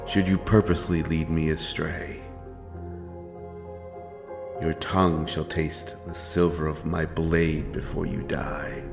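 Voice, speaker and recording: A man playing a stern, menacing but honourable monster hunter in a dark fantasy audio drama, speaking in solemn, archaic phrases. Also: rumbling low, level tone, slow pace, creaky, long pauses, full character voice. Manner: archaic, solemn, threatening speech. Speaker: audio roleplay performer. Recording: music behind the voice.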